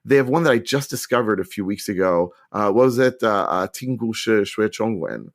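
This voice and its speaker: A middle-aged man answering interview questions with chatty enthusiasm and quick restarts. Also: lowish voice, lively intonation. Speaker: podcast guest.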